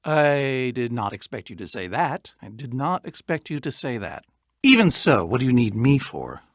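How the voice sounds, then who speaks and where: Game role: eccentric reclusive adventurer, wistful and fussy, theatrical wordy delivery with lively pitch swings, very dry close recording. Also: male voice, moderate pace, no room sound. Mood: reluctant, guarded. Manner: theatrical, wordy, dryly self-pitying. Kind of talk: animated video game character acting.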